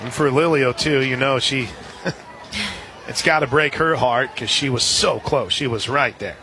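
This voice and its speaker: A man doing live radio play-by-play of softball, excitable, in short punchy calls from an outdoor stadium. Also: medium pitch, a little slow. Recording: live outdoor stadium broadcast, crowd noise. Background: loud crowd and ballpark ambience.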